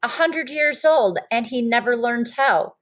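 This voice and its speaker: Storybook child narrator, played by a woman: admiring, eager, emphatic, unhurried pace. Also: fairly high pitch, lively intonation, a bit bright, dull audio. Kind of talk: children's picture-book read-aloud.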